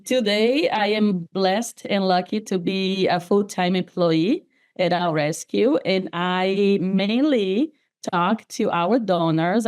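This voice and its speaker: A woman's voice: fairly low pitch, a little mellow. A woman from Brazil with a Brazilian Portuguese accent, a guest on a podcast, speaking earnestly over a video call and often restarting words. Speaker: nonprofit development specialist guest. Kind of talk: podcast interview, personal story.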